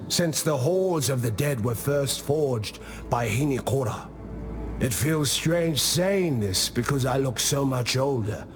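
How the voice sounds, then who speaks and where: Game elder male actor: kindly old chieftain, medium pitch, gentle, thoughtful, loud music behind. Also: close to an everyday voice. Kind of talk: video game character dialogue.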